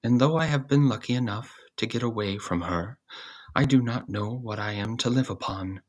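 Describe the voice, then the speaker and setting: A man's voice: medium pitch, normal speed, pitched above the performer's own voice, natural voice. A man voicing an aging, gloomy storybook cat, plaintive and sighing.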